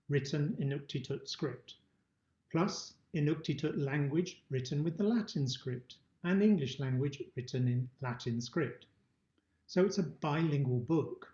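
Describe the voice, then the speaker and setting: A middle-aged man presents a webinar lesson in a mellow, slightly high voice. He speaks in a prepared, explanatory style, and the sound is roomy. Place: indoor room. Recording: dull, roomy webcam-style recording.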